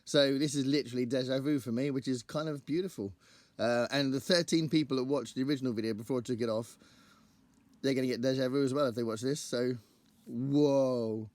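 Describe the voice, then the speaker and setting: A man hosting his own book channel, talking casually to camera in a rambling, think-aloud way, his voice croaky from singing at a gig the night before. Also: mid-range voice, fairly even intonation, brisk, a little mellow, no echo.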